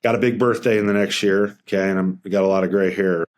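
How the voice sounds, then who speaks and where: A man's voice: sits low, fairly even intonation, quick pace. A middle-aged man speaking as a financial advisor in a casual interview, earnest and rambling with frequent restarts.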